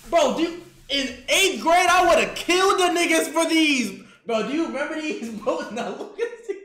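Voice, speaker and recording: A man's voice: very high-pitched, moderate pace. Young man, streamer host, casual chat, swings from mumble to outburst. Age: young adult. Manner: animated, swings from mumbling to loud laughing outbursts. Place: living room, indoors. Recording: echoey living room.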